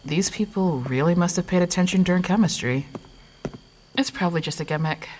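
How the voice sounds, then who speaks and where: A woman's voice: lowish voice, a little fast, a little mellow, slight background noise, close to an everyday voice. An actress playing a skeptical young woman with a dry, sarcastic manner in an audio drama.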